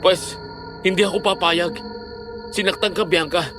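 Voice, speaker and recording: A man's voice: high voice, steady tone, a little slow. An actor playing a protective, determined young boyfriend in an audio horror drama, firm in his manner. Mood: angry resolve. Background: music.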